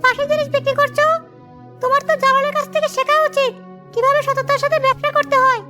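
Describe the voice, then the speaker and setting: A woman voicing a shrill, scolding customer in a village cartoon. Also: flat delivery, quick pace, over music. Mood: indignant. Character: shrill, scolding customer berating a cheating shopkeeper. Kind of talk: cartoon story voice acting.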